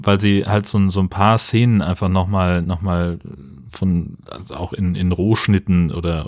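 Man, low pitch, casual film review talk, wry, ironic. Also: dry recording, studio quality. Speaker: podcast co-host.

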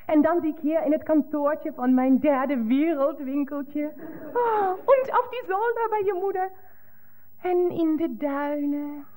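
A woman's voice: high voice, a little slow, a little mellow. An actress playing an amorous, coaxing German girlfriend with a German accent, cooing endearments and slipping German words into her Dutch in a radio play. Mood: wistful.

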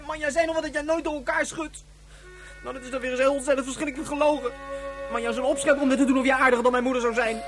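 A man's voice: very high voice, fairly even intonation, very fast, over music. A man playing a cheeky, bratty young boy in a radio drama, blunt and childishly exaggerating. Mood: indignant.

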